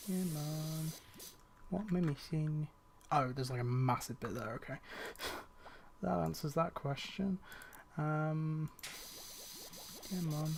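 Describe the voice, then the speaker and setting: A man's voice: fairly high pitch, slow, gravelly, pauses often, slight background noise. A young man streaming a cleaning game, chatting casually with his viewers, rambling with fillers and restarts and sounding a little tired. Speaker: gaming streamer. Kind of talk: casual chat while playing a game on a live stream.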